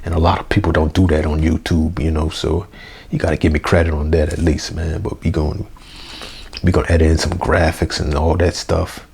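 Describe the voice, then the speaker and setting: A young man, hoarse, rambling through a casual unedited review vlog with lots of fillers and slang. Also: extremely low pitch, level tone, a little fast, darkish tone, slight background noise. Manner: rambling, full of fillers like "you know" and "man". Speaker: wrestling review vlogger. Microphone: basic mic, plans a new one.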